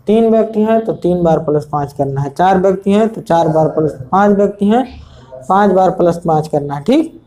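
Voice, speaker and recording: A man's voice: sits high, mellow. A male teacher explaining age problems step by step for an entrance exam, repeating rules and often checking whether students follow. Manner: repetitive, checks understanding often. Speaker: math teacher. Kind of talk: exam prep lesson at a board.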